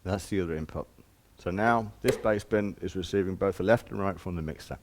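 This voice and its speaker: A man's voice: sits low, fairly quick, slight background noise. A man giving an informal hands-on demonstration as a shop presenter, explaining step by step in plain, casual words.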